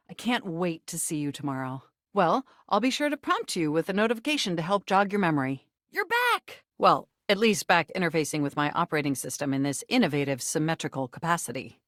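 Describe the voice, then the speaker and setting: A woman's voice: on the low side, no room sound, studio quality. A woman voicing a nerdy, eager, flirtatious computer come to life in a video game, speaking in formal, wordy, technical phrases with an earnest, easily flustered manner. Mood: eager, hopeful, then flirtatious, admiring. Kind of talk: scripted video game voice acting.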